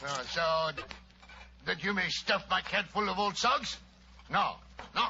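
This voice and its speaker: Excitable Italian hotel worker, old radio drama, protective, broken English, swooping delivery, dull noisy recording. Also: male voice, sits high, a little slow, gravelly, heavily acted. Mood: indignant.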